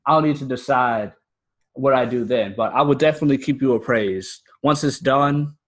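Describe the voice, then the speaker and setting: A man's voice: mid-range voice, normal speed. An American man speaking casually and earnestly as an interview guest. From United States. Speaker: podcast guest, fiction writer and podcaster.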